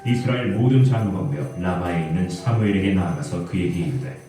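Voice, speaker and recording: A man's voice: deep voice, fast talker, mellow, faint music behind. A man narrating a dramatised audio Bible in a formal scripted reading. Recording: echoey hall playback from far off.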